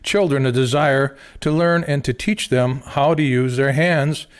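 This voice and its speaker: An actor playing a courteous, devoted adviser to the First Lady in a radio play, speaking formally and deliberately. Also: mid-range voice, steady tone, smooth, natural voice. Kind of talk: radio theater dialogue.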